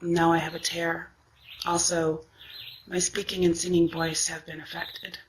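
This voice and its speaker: Woman with a weak, raspy, flat voice. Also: fairly low pitch, measured pace, noisy background. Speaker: singer. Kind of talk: reflective personal monologue.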